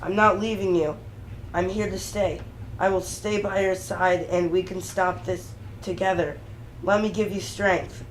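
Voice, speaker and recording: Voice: medium pitch, steady tone, a little slow, natural voice. A performer in a dramatic reading playing a concerned, loyal companion with an earnest, plain delivery. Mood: resolute, reassuring. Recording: noisy room ambience.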